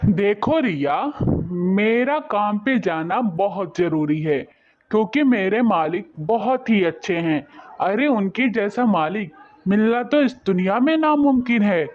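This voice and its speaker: A man's voice: high voice, animated, slow, narrow, tinny audio, a little hiss or hum. A man playing a humble, honest poor village husband in a cartoon moral story, speaking earnestly and respectfully. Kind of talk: Hindi animated moral story dialogue.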